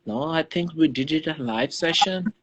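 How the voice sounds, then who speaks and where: Man, Pakistani accent, fairly high pitch, effusive, repetitive phrasing, casual live host. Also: normal speed, a bit bright. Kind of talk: casual livestream interview.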